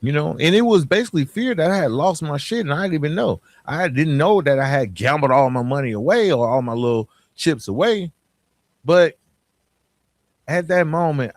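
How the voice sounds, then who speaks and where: A man's voice: mid-range voice, no room sound. A man hosting a podcast, talking casually and motivationally in a colloquial, streetwise way with slang and personal storytelling. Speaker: podcast host.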